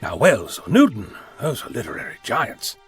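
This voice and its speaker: An actor playing a breezy, eccentric time-travelling adventurer in an audio drama, with an erudite manner. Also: male voice, mid-range voice, wildly swooping pitch, normal speed, soft background music. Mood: airy.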